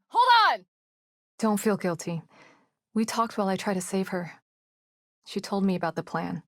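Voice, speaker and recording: A woman's voice: medium pitch, no room sound, close to an everyday voice. Woman psychologist for a horror game, played by an actress: composed, earnest, clinically precise, very dry studio sound. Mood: urgent, shouting. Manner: precise, measured, formal wording. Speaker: video game voice actor. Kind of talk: scripted game dialogue acting.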